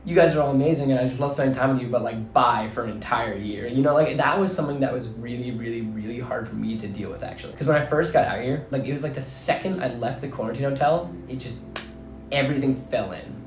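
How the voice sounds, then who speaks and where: A young man from New Jersey with a slightly hoarse voice rambles earnestly. He talks in an easy middle register, piling in "like" and "you know" as he thinks aloud. The room rings with echo and soft music plays faintly underneath. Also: mid-range voice, fast talker. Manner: rambling, filler-heavy, earnest. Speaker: vlogger and teacher. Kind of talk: casual reflective monologue.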